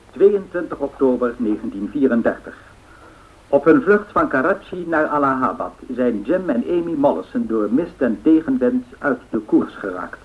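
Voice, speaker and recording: Tinny 1930s radio newsreader. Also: male voice, mid-range voice. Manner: formal, measured. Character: formal, factual male 1930s radio newsreader. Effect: old radio filter. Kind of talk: 1930s news bulletin in radio drama. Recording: tinny narrow-band radio sound.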